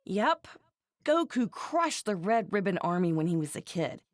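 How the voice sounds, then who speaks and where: An actress playing a plainspoken, teasing young woman in an English-dubbed anime game, with a casual, expressive manner. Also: mid-range voice, a little slow, no echo, clean studio sound. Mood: casual, musing.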